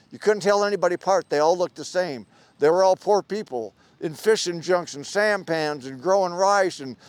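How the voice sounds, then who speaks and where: Older American man, veteran, rambling storyteller, smooth, clear tone. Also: on the high side, no room sound. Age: 70s. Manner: rambling, plainspoken, with pauses and fillers. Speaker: veteran interviewee. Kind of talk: personal storytelling. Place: outdoor park event.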